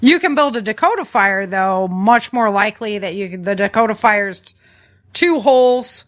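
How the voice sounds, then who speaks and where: A woman podcast host with a mid-pitched voice talks through practical survival advice. She sounds conversational and informal, working from notes while instructing the listener, and often seeks agreement as she goes. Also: lively intonation, normal speed.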